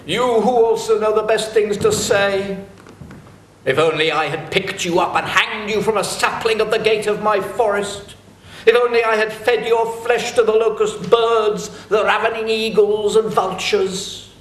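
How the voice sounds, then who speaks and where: A man's voice: high-pitched, fairly even intonation, measured pace, a bit bright. A man voicing a monstrous forest guardian who desperately pleads for his life, in a theatrical dramatic reading. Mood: bitter pleading. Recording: echoey room.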